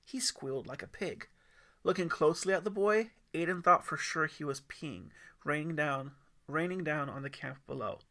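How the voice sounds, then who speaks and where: A man's voice: a little high, normal speed, sharp tone. A man narrating a scripted audiobook story expressively, as its author and reader.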